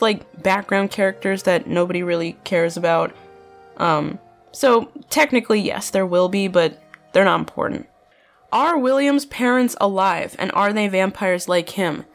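A young woman chatting casually while answering fan questions, filling her talk with "like" and "bro", her voice slightly nasal. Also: medium pitch. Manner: chatty, many fillers like "like" and "bro". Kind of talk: casual chat.

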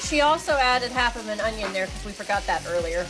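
A woman's voice: medium pitch, lively intonation, brisk, rough recording. A woman in her thirties from Kentucky and Tennessee, chatting playfully to viewers while filming cooking in her home kitchen on a phone held at arm's length. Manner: playful, teasing, talks to viewers. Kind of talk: casual chatty home vlog. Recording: music playing under voice. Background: music and frying sizzle.

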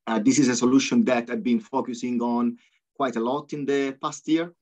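A man as guest expert presenting software on a webinar, fluent but peppered with ums and uhs. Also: medium pitch, steady tone, moderate pace. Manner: fluent but full of ums and uhs, non-native phrasing. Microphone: video call. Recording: slightly dull video call audio.

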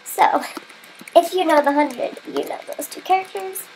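Female gamer, stuffy-nosed and sniffly, high voice with vocal fry, chatty and self-mocking. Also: normal speed, darkish tone, soft background music, poor audio. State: stuffy nose, getting sick. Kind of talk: casual gameplay commentary.

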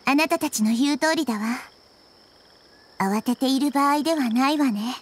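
An actress playing a small, childlike goddess in an anime game, gentle and wise, speaking softly and in a measured, thoughtful way. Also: female voice, high-pitched, close to an everyday voice. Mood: gentle, composed. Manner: soft, measured, thoughtful delivery. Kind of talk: Japanese anime game voice acting.

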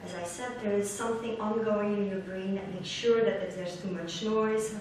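A middle-aged woman giving an academic conference lecture, explaining haltingly as she searches for words and repeats herself. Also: mid-range voice, steady tone, a little mellow. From Belgium. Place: large lecture hall, indoors, at a lectern. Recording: echoey hall from far off, background noise.

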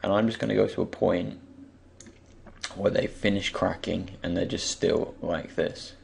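A man with a croaky, just-woken voice gives an unscripted software tutorial, hesitantly thinking aloud as he goes. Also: deep voice, steady tone, a little slow. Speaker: tutorial presenter. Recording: faint background noise, keyboard and mouse clicks.

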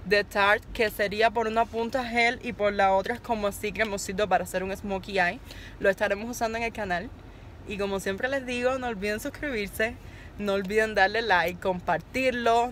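A woman's voice: mid-range voice, a bit bright. A young woman from Cuba with a Cuban Spanish accent, chatting casually to her phone camera while driving, rambling and upbeat. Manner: rambling, upbeat and encouraging, laughs at herself. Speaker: vlogger. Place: inside a car, parked then driving at dusk. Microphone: phone camera held at arm's length. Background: faint car and road noise.

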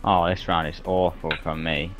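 A man co-hosting a game stream, reacting excitedly to races and teasing his partner. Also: deep voice, normal speed, music in the background. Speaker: co-host and player. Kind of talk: casual chat while gaming.

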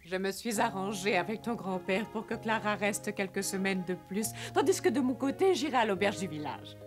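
A woman's voice: mid-range voice, expressive, moderate pace, natural voice. A woman voicing a gracious, doting elderly grandmother in a French cartoon dub, with refined, formal phrasing. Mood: kindly, amused. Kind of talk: French animated film dub. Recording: music behind the voice.

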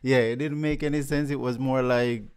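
A man's voice: medium pitch, steady tone, normal speed, a crowd in the background. A young man from Jamaica with a Jamaican accent, chatting casually as a guest musician on a podcast, peppering his talk with "you know". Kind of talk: casual interview. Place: living room with mirrored wall decor. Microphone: studio mic on boom arm.

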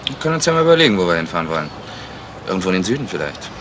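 Flippant young man, bright voice. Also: low voice, very animated, very fast. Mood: nonchalant. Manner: flippant, mocking. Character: lazy, flippant, teasing young fiancé. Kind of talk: 1970s German radio crime drama acting. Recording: slightly noisy vintage radio recording.

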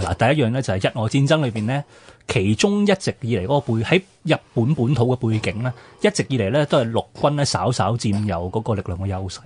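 Male history co-host, Hong Kong Cantonese, husky edge, animated question-and-answer explaining, dry studio-close sound. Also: medium pitch, no echo. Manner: explanatory, poses questions then answers them. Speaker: radio history programme co-host. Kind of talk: conversational history discussion.

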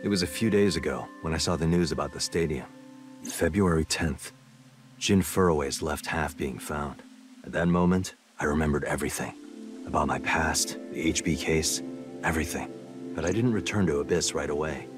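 An actor playing a cool, deadpan, wisecracking special agent in a video game. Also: male voice, very deep voice, moderate pace, music in the background. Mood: earnest recounting. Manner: deadpan, dryly joking.

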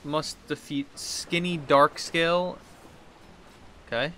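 A man's voice: fairly high pitch, steady tone, takes it slowly, pushed and bright. Guy in his 30s doing casual gaming commentary, kinda rambling to himself, some noise and game ambience behind him. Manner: rambling, talking to himself, reading quest text aloud. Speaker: gaming video host.

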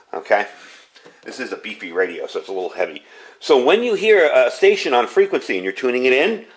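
A middle-aged American man giving a casual, unscripted product demonstration in a chatty, explanatory way. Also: mid-range voice. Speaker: product reviewer. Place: indoor room, at a tabletop.

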